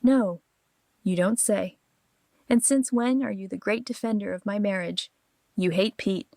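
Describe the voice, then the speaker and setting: A woman's voice: medium pitch, a little slow, vocal fry, darkish tone, no echo. A woman playing a conflicted, defensive and vulnerable married mother in an audio drama.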